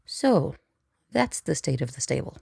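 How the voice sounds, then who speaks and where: A man's voice: medium pitch, big pitch swings, a little slow, gravelly, noisy background, exaggerated voice. A man playing a jaded, impatient pony analyst in an audio drama, with a dry, grumbling, informal way of talking. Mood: wry.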